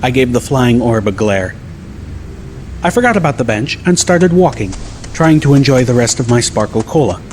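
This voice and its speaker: A man narrating an audiobook in the first person, measured and dryly wry. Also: mid-range voice, sing-song, a little mellow, a little hiss or hum. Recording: clear music bed behind the voice.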